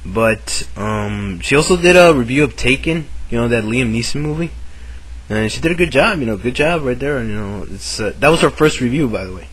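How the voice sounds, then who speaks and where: Raspy man with a cold. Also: fairly low pitch, fairly bright tone, a little hiss or hum, poor audio. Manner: halting, full of fillers and restarts. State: sick with a cold, sore throat. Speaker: video maker. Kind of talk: casual chat to camera.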